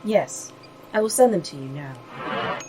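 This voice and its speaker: An actress playing a polite, literal-minded artificial intelligence in a sci-fi audio drama, formal and deadpan. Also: female voice, low-pitched, takes it slowly, slight background noise, rough recording. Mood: formal, earnest. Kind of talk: audio fiction acting.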